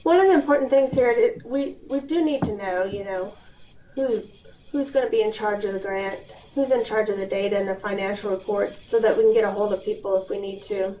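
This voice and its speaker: A woman's voice: medium pitch, fairly quick, dark tone. A woman giving an informal, explanatory instructional presentation over a conference phone call, stressing key points as a program presenter. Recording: dull, lo-fi phone call with background hiss.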